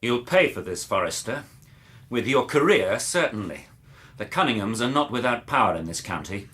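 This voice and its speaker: An actor playing a haughty, bullying English country landowner, blustering and contemptuous, in a radio drama. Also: male voice, fairly low pitch, big pitch swings, moderate pace, a bit bright. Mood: menacing, bitter.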